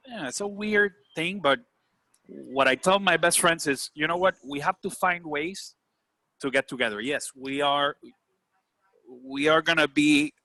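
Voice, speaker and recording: A man's voice: a little high, sharp tone, no echo, low-quality recording. A man with a Puerto Rican accent talking earnestly in a casual group discussion, often checking that listeners agree. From Puerto Rico. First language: Spanish. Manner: earnest, seeks agreement with "right?" and "you know". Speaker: business executive leading a group discussion. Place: video call.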